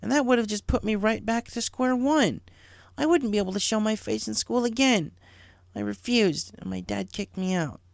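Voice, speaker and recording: A man voicing a hurt, defiant young female pegasus pony in a storybook reading, gravelly and bitterly confiding. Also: high voice, normal speed, no room sound, studio quality, pitched above the performer's own voice. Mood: wounded. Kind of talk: acted storybook dialogue.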